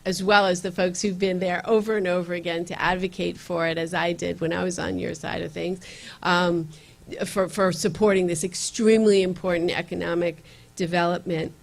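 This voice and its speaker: A county legislator, a woman, addresses a community meeting in a room and pauses often with fillers and restarts. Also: lowish voice, flat delivery, vocal fry. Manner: earnest, with frequent fillers and restarts. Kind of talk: campaign speech at community meeting.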